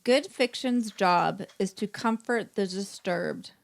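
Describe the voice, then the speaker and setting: A woman's voice: medium pitch, slow, creaky. An American woman co-hosting a podcast in casual banter, talking in an informal, rambling way peppered with "like". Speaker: podcast co-host.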